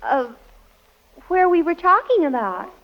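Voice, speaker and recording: A woman's voice: very high-pitched, sing-song, a little slow, exaggerated voice. An American woman playing an eager, huffy teenage daughter who pleads earnestly in an old-time radio family comedy. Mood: pleading. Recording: dull old radio recording.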